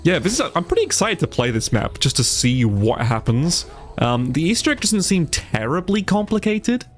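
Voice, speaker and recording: Male let's play YouTuber, bunged up with a cold, lively melodic delivery, loose, profane and readily impressed, light music underneath. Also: a little high, moderate pace. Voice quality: congested, stuffy-nosed. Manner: casual, sweary, easily amazed. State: sick with a stuffy nose. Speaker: gaming YouTuber, player. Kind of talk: casual let's play commentary.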